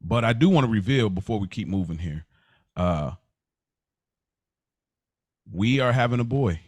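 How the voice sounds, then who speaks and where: A man's voice: on the low side, lively intonation, a little slow, no room sound. A man co-hosting a podcast in casual, slangy talk, often repeating and rephrasing himself as he explains things. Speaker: podcast co-host. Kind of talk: casual chat.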